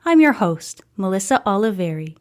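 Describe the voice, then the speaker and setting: A woman's voice: mid-range voice, big pitch swings, moderate pace. A woman hosting and narrating a scripted story, reading a book aloud.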